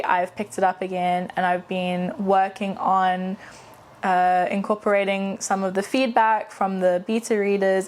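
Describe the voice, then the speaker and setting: A woman in her late twenties from South Africa, whose South African accent carries British and American traces, talking candidly in a casual personal vlog with frequent fillers. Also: mid-range voice. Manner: chatty and candid, with frequent fillers and self-corrections. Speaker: vlogger and aspiring novelist.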